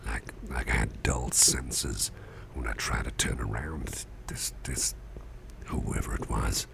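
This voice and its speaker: A man performs an ailing, sleepy boss in an intimate ASMR roleplay. His voice is extremely deep and full of heavy vocal fry, drifting along in a breathy murmur. He rambles gently and hesitantly at a slow pace, repeating himself as he confides. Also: background noise, full character voice. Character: sickly, drowsy, sweet-tempered boss confiding in his employee.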